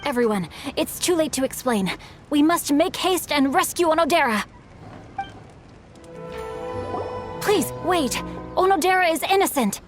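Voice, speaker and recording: A courteous young male swordsman, voiced by a woman, formal and earnest in every word. The voice sits a little high for a young man. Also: lively intonation, normal speed, soft background music. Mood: urgent.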